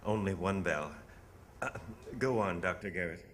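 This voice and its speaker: An actor playing a gentle, enigmatic doctor, measured and probing, in old-time radio theatre. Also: male voice, low-pitched. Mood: composed. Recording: loud background noise and ambience.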